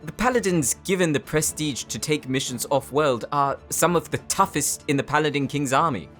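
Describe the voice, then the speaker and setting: A man's voice: a little high, normal speed, a bit bright, natural voice. A man playing a formal, dryly exasperated warrior comrade in an audio roleplay drama. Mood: puzzled. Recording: music under the voice.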